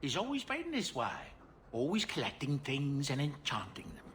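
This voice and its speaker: A man's voice: medium pitch, huge pitch swings, normal speed, a bit bright, a little hiss or hum. An actor playing a genial, fussy middle-aged male dwarf merchant in a video game, chatty and affable. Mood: fond, amused.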